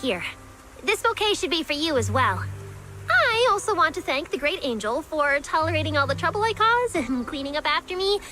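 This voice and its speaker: A woman acting an earnest, emotional young girl mechanic in a game, intense and expressive. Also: sits high, normal speed, full character voice. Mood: playful, sincere. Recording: loud music behind.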